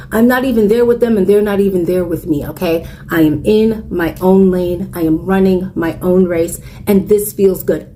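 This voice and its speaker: A woman's voice: mid-range voice, warm tone, a little hiss or hum. A woman in her forties, a content creator, talking candidly and earnestly to camera about her own life. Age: 40s. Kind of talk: personal reflective talk to camera.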